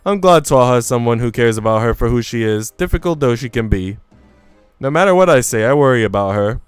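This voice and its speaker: A man's voice: mid-range voice, lively intonation, brisk, clean tone, no echo, soft background music, lightly acted. A man voicing a haughty young woman from a visual novel, self-assured and condescending. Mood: probing, candid. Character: haughty, dismissive young woman, quick to judge.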